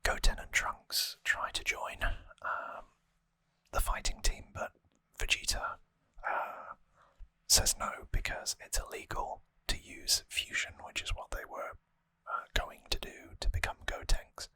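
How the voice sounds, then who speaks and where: An ASMR host whispering a casual, relaxed, rambling trivia recap very close to the microphone. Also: measured pace, dry recording.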